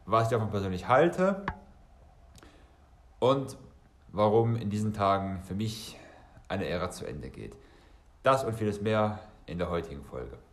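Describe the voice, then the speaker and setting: A man's voice: fairly low pitch, lively intonation, a little slow, pauses often, roomy sound. A bilingual German and Serbian man hosting a solo podcast, talking conversationally and directly to listeners, often rephrasing as he goes. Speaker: podcast host.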